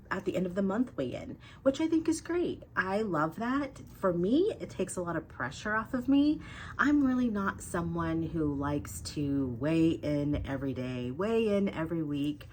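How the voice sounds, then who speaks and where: A woman's voice: fairly low pitch, slight background noise. A young adult woman with cold congestion, giving a chatty weight loss update to her viewers. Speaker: vlogger.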